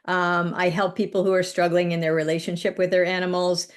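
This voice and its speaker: A woman from Canada introducing herself as a coach for people and their animals, steady, with some fillers. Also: lowish voice, a little fast, clear, resonant tone. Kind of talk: casual self-introduction.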